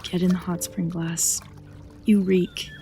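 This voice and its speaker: A woman's voice: on the low side, flat delivery, slow, music in the background. A woman playing a blunt, sarcastic, bossy young travel companion in an audio drama. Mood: teasing.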